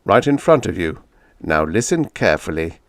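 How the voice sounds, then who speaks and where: A calm, blunt visitor from the future, read by a man in close to his own voice. The delivery is flat, firm and matter-of-fact. Dry, close sound. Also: deep voice, normal speed, dry recording.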